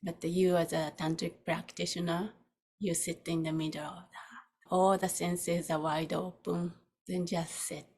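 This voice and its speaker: Female meditation teacher, lowish breathy voice, very slow and soft with long pauses. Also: flat delivery. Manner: soft, unhurried, with long pauses between short phrases. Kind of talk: guided meditation.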